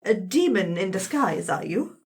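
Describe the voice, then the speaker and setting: A woman's voice: mid-range voice, big pitch swings, normal speed, a little mellow. A woman playing a tabletop game, improvising roleplay chat in a polite, coaxing, slightly hesitant manner. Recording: faint background noise.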